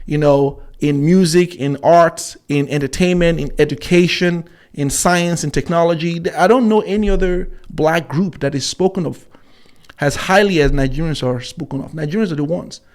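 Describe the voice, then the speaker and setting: A man giving unscripted opinion commentary as a host, haltingly, repeating words and often checking in with "right?". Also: a little high, darkish tone.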